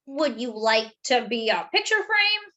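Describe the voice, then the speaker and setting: A woman's voice: on the high side, very animated, a little slow, pushed and bright, heavily acted. A woman voicing a kindly, reasonable, slightly fussy man in a children's storybook, earnest and reassuring. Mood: hopeful.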